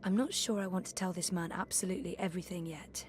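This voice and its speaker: A woman's voice: fairly low pitch, quick pace, slight background noise, close to an everyday voice. An actress playing a guarded, curious, level-headed young woman adventurer in a video game, speaking thoughtfully and with understatement. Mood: guarded, pondering. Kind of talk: video game dialogue acting.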